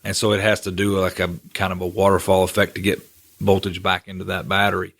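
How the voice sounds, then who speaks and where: A man's voice: low-pitched, monotone, fast talker, gravelly, a bit bright. A man co-hosting a podcast, explaining technical points steadily with frequent fillers. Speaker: co-host, general manager. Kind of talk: casual explaining.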